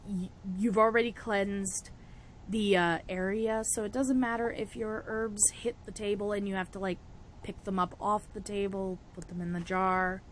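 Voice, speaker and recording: A young woman whose voice is raspy from a cold talks through a hands-on craft tutorial in a loose, chatty way. She laughs a lot and keeps stopping herself mid-sentence. Her pitch sits in the middle range, and a faint hum can be heard in the background. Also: flat delivery, moderate pace. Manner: chatty, laughs often, self-interrupting. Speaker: witchcraft vlogger. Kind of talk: casual tutorial chat.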